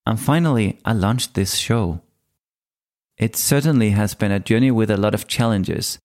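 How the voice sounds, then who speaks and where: A man in his forties with a Danish accent, hosting his own podcast and telling his life story in a calm, measured monologue. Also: fairly low pitch. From Denmark. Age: 40s. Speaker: podcast host. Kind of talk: scripted solo podcast monologue.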